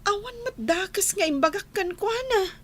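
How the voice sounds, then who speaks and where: A woman's voice: very high-pitched, expressive, normal speed, fairly bright tone. A woman playing an earnest young student in an Ilocano radio drama. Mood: earnest, defensive.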